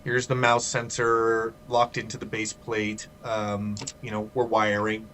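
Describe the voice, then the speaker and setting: A man co-hosting a podcast over a video call, chatting casually and trading quick jokes. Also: fairly low pitch, monotone, a bit bright, slight background noise. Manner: joking, quick banter. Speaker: podcast co-host. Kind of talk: casual chat.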